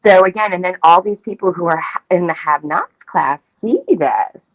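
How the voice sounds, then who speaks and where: A woman's voice: fairly low pitch, moderate pace, no echo, dull audio. A woman chatting in an opinionated, emphatic, repetitive way as a talk show co-host. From Staten Island, New York, United States. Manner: emphatic, repetitive, casually profane. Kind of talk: animated opinionated casual chat.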